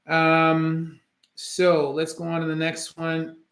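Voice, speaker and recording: A man from Canada with a faint Jamaican trace in his Canadian accent. He is an orthopedic surgeon answering viewer questions in a casual livestream, talking in a measured way with many ums and restarts. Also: on the high side, completely flat delivery, a bit bright. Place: his home office. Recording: roomy echo in a room.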